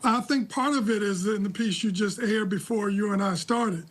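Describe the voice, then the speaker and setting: Man, American accent, high-pitched voice, political analyst, deliberate critical commentary. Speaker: political analyst, interview guest. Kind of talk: live news commentary.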